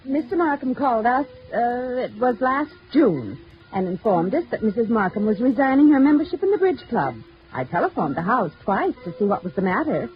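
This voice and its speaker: A woman's voice: a little high, sing-song, normal speed. An actress playing a prim bridge club lady giving a statement in a 1950s radio drama. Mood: prim, reporting. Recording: muffled old radio recording, music behind.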